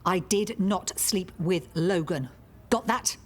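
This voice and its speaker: Low-voiced, sharp-tongued British wife. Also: female voice, takes it slowly. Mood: angry, scolding. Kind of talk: radio soap acting.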